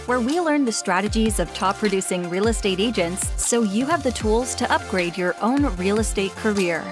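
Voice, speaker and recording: A woman's voice: medium pitch, expressive, moderate pace. A woman reading a scripted podcast introduction as an announcer. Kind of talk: scripted narration. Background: music.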